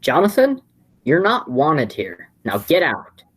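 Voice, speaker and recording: A woman's voice: low voice, huge pitch swings, slow pace, breathy edge, heavily acted. A teenage girl playing an exasperated young man in an amateur radio play, theatrical and sardonic. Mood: scornful.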